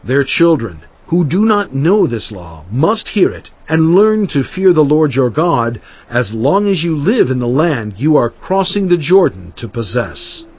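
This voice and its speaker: An aged prophet urges his people with earnest, emphatic conviction. His voice is husky and sits fairly high, over faint music on a dull recording. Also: big pitch swings, unhurried, heavily acted. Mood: exhorting. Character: Moses, aged male prophet and leader. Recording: muffled lo-fi audio with faint music.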